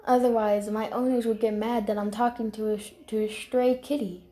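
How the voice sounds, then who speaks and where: A woman voicing a timid, excitable pampered house cat for animated character lines, with a hesitant, stammering, dramatic delivery. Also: fairly high pitch, fairly quick, close to an everyday voice. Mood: flustered.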